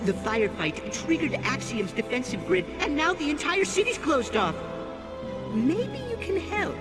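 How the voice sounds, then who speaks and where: An actor playing a prim, helpful alien scientist in a game. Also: male voice, extremely high pitch, big pitch swings, normal speed, loud music behind the voice.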